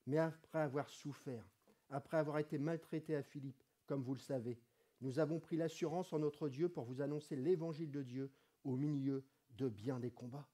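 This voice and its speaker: A middle-aged man preaches in a church hall, his voice a little hoarse from a cold. His voice sits fairly high yet sounds soft and mellow. He talks in a relaxed, conversational way and lifts his voice when he reads scripture. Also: normal speed. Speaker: pastor. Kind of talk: sermon. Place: church hall with wooden wall, indoors.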